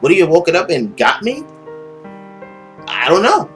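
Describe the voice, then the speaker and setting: Expressive young man reading a story game aloud. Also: high voice, normal speed. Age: young adult. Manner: theatrical, suspenseful storytelling. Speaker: let's play host. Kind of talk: dramatic read-aloud of a visual novel with casual commentary. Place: indoor room at home. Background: game music.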